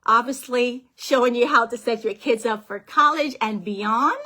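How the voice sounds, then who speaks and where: A woman from Guyana, a financial planner and author giving a lively motivational talk on money over a call and often checking in with her listeners. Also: fairly high pitch, moderate pace.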